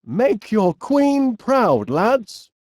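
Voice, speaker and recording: A middle-aged, eccentric inventor from a cartoon game with a Northern English accent. His delivery swoops up and down at an unhurried pace. He is chirpy and quaintly polite, with a bit of dithering, recorded close and dry. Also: extremely high pitch, very animated, darkish tone, no room sound, full character voice. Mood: cheerful. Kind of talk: cartoon video game voice acting.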